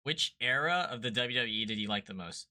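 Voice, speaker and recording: A man's voice: mid-range voice, animated, fairly quick, pushed and bright. A man hosting a podcast, asking curious follow-up questions in a casual interview chat. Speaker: podcast host.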